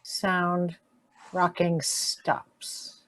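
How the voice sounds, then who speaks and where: A woman's voice: on the low side, completely flat delivery, drawn-out words, a bit bright, rough recording. A woman reading out sound effect cues as stage directions in a radio play.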